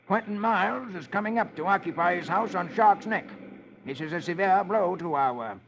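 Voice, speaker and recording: A man playing a cantankerous, scheming old squire with a creaky voice in a 1950s radio comic-strip drama. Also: sits high, moderate pace, slight background noise. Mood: scheming, uneasy. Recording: muffled old radio recording.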